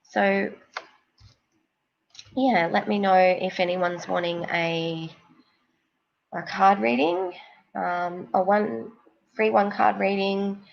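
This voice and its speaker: A woman with a cold and a snuffly nose, giving psychic card readings on a live stream in a slow, rambling, reassuring way. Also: mid-range voice, vocal fry, lo-fi sound.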